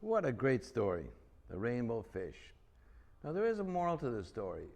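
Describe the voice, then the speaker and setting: A man's voice: mid-range voice, wildly swooping pitch, moderate pace, darkish tone. A man reading a picture book aloud for children as a storyteller and host.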